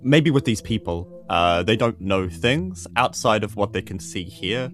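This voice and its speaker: A man's voice: lowish voice, expressive, unhurried, faint music behind. A man with a New Zealand accent playing a tabletop role-playing game, bantering casually in character.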